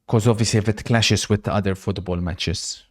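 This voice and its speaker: A man's voice: on the low side, steady tone, moderate pace. A man hosting a live football watch-along stream, chatting casually, reacting to the match and reading out viewer messages.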